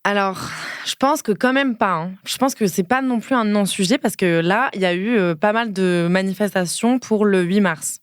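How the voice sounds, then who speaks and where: A woman in her late twenties from Ardèche, a podcast guest who talks thoughtfully with many pauses and fillers. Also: mid-range voice, clear, resonant tone, no room sound, studio quality. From Ardèche, France. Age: late 20s. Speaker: guest, feminist speaker and lawyer. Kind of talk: casual podcast conversation.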